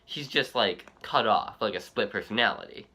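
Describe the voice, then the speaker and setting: Bright, animated man rambling casually. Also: fairly low pitch. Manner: halting, rambling, repeats himself. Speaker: reaction video host. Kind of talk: casual unscripted fan commentary.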